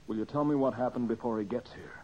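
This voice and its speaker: An actor playing an earnest, urgent amateur detective in an old radio mystery, speaking with pressing concern. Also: male voice, mid-range voice, quick pace, close to an everyday voice. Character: earnest, urgent male amateur detective protecting his friend. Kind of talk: 1940s radio mystery acting. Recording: muffled old radio, faint noise.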